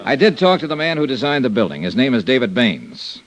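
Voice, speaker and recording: A man's voice: mid-range voice, very animated, a little fast, no room sound, lightly acted. Tough american private investigator guy played by a radio actor, clipped and dry, voice really moves up and down, old radio drama. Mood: brisk briefing. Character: tough, hard-boiled male insurance investigator. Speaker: lead actor and narrator of radio detective serial. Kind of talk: old-time radio detective acting and narration. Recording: old radio broadcast recording.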